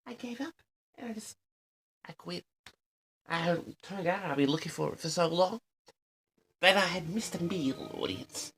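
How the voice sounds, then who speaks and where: Man doing a pompous eccentric diarist, wildly swooping pitch. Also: very animated, a little slow, creaky, long pauses, fairly bright tone, slight background noise, exaggerated voice. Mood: grumbling, aggrieved. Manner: pompous, theatrical, indignant. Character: pompous, easily affronted eccentric man keeping an audio diary. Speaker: audio log host. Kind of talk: comic rambling monologue to an audience.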